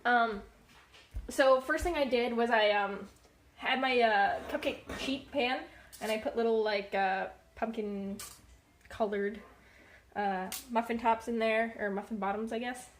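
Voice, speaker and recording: A woman's voice: fairly high pitch, unhurried, a little hiss or hum. A woman hosting a casual cooking live stream, chatty and rambling with lots of fillers, sounding stuffy and a little nasal from a cold. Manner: chatty and rambling, many fillers and self-corrections. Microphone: low-set streaming mic.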